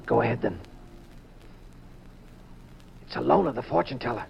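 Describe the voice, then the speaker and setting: An actor playing a wry, self-assured private detective in a 1950s radio drama, coolly teasing and dry. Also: male voice, mid-range voice, very animated, normal speed, creaky, slight background noise. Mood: hushed, watchful. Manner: cool, dryly teasing. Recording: dull 1950s radio recording.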